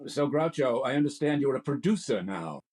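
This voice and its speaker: A man's voice: mid-range voice, animated, fairly quick, a little mellow, natural voice. An actor playing an earnest, theatrical straight man, a famous director, in a 1940s radio comedy.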